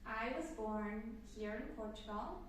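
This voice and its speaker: A woman's voice: a little high, a little slow, heavy reverb, distant mic, slight background noise, rough recording. A woman in her twenties, from Canada, hosting a casual sit-down Q&A chat, upbeat and rambling with fillers. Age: 20s. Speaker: channel host.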